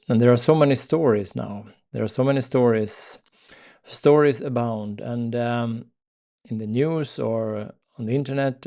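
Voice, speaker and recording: Slow, hesitant Swedish-accented man. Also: mid-range voice, vocal fry, mellow. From Sweden. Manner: slow, hesitant, many fillers and pauses. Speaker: spiritual live-stream host. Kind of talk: unscripted spiritual talk.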